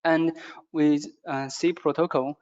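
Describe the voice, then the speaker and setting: A man with a non-native English accent gives a product training presentation, speaking haltingly and repeating phrases as he goes. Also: a little high, flat delivery, a little mellow. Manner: halting, repetitive phrasing with stumbles. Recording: dull, muffled lo-fi audio.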